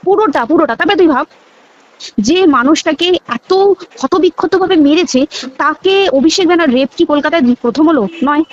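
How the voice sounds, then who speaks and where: A woman's voice: high-pitched, fairly quick. A young woman with a West Bengal accent talking casually and emphatically on a recorded phone call, repeating words and checking that her listener follows.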